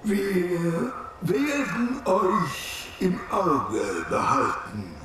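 An actress playing a stern, unearthly envoy of the dead in a video game, speaking in a measured way. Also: female voice, lowish voice, very animated, noisy background, full character voice. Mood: solemn. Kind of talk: dramatic video game acting. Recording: echoey, distant, music behind.

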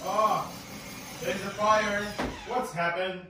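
A man's voice: high voice, steady tone, slow pace, over music. A man chatting casually as he plays along with a child, asking simple prompting questions, recorded across a living room. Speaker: parent hosting a family toy video. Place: large living room with hard wooden floor. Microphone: camera several metres away. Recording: echoey room, distant mic, background noise.

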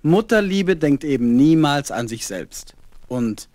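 A man's voice: a little high, animated, unhurried, a little mellow, no echo. A man playing an indulgent, jovial father in a 1970s children's radio play.

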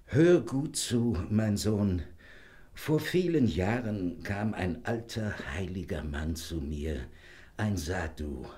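An actor playing a frail old dying father, gentle, solemn and deliberate, in a fairy-tale audio drama. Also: lowish voice. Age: older.

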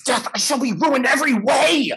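A middle-aged male actor playing a smooth-talking, hypocritical gentleman schemer in a theatrical period stage comedy. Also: very high voice, normal speed, noisy background. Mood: flustered. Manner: theatrical, emphatic period speech. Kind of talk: Restoration-style stage comedy over video call. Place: indoor room, video call.

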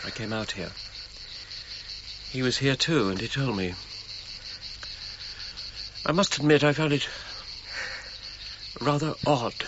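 An actor playing a stern, imperious gentleman archaeologist in a radio drama, clipped and demanding in manner. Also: mid-range voice, lively intonation, takes it slowly, long pauses, muffled recording, noisy background. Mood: restrained disapproval. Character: Sir Arthur Evans, stern, imperious English gentleman archaeologist.

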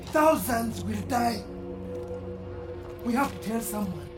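A frightened technologist in a video game. The voice is high for a man, halting and pleading, with music behind it. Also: lively intonation, heavily acted. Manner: fearful, halting. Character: terrified, pleading technologist informant.

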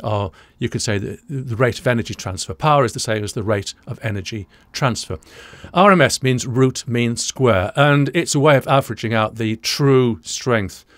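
A man's voice: medium pitch, moderate pace, hardly any pauses, fairly bright tone, no echo. Dry-sounding older British man explaining audio. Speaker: audio engineering presenter. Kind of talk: explanatory reaction commentary to camera. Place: small indoor studio room, dry.

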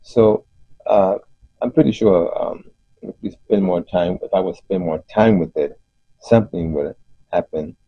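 A man giving an unscripted explanation as host of a DIY video, speaking haltingly with frequent pauses. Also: sits low, slightly rough. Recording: muffled, roomy voice-over recording.

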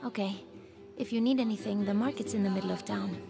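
A woman's voice: mid-range voice, fast, vocal fry, warm tone, soft background music, natural voice. An actress playing a welcoming, helpful townswoman in a naturalistic game cutscene.

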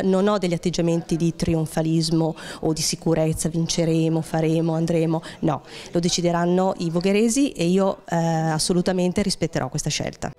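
A woman answers TV interview questions in a measured, hesitant way, drawing out and repeating words. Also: on the low side, a little mellow. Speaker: local party secretary being interviewed. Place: small indoor office. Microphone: handheld reporter microphone.

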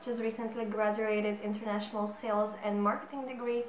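A woman of twenty-four from Slovakia with a Slovak accent, chatting cheerfully to camera as she introduces her flat to a future flatmate. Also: medium pitch. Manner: cheerful, giggly, quick to joke. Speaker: flatmate seeking a roommate. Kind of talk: casual chat to camera. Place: living room, indoors. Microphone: camera on a tripod. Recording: echoey room with music behind.

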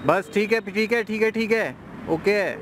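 A young man briskly directing his team during an outdoor test by a road at night, recorded on a camera. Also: high-pitched. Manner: brisk, giving orders. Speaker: project lead directing a test. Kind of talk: casual instructions to a team. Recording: outdoor traffic noise. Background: passing vehicles.

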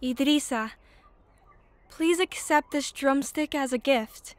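A woman's voice: sits high, slow. An actress playing a polite but wry young twin girl in an audio drama. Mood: curious, earnest.

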